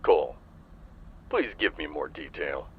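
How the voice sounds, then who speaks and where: A man playing a calm, businesslike police chief in an audio roleplay, heard through a phone filter. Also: very deep voice, slow, a little hiss or hum, exaggerated voice. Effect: phone call filter. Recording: tinny phone line.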